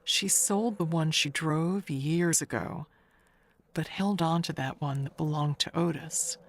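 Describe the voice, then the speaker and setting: An actress as a chatty older woman who speaks slowly and confides in halting, rambling turns. She sounds like she is guarding a secret in a mystery tale, over clear background noise. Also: low-pitched. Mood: confiding, wistful. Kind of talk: audio mystery monologue.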